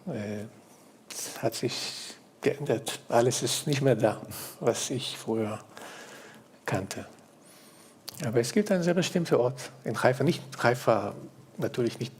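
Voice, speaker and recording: A man's voice: medium pitch, lively intonation, unhurried, slightly rough. A man speaking as a panel guest, hesitant, with small grammar slips in German. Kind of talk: panel discussion.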